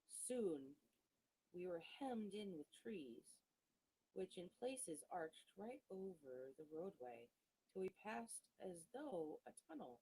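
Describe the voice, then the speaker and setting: A woman reading a gothic novel aloud as an audiobook, in a dramatic, measured storytelling manner with expressive pauses. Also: fairly low pitch, breathy edge, poor audio. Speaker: audiobook reader.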